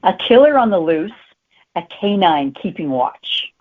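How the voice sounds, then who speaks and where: A woman's voice: fairly low pitch, big pitch swings, unhurried. A woman talking casually as an author being interviewed. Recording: tinny phone-like line.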